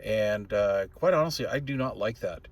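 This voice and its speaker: A man's voice: lowish voice, animated, normal speed. A middle-aged or older man giving a casual, plain-spoken and opinionated product review as a hobbyist host. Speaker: radio hobbyist channel host reviewing gear.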